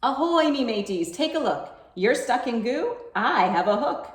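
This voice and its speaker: A hearty storybook pirate, acted out by a woman, calling out in sailor talk. The voice is pitched up and animated, recorded in an echoey room. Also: moderate pace. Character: hearty male pirate offering his hook.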